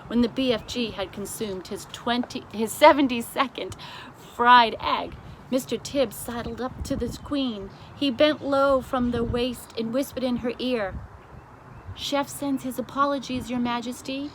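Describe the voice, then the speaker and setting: A woman's voice: on the high side, unhurried, rough recording. Woman reading a children's story, slight noise. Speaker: storybook reader. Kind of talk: expressive read-aloud of children's book.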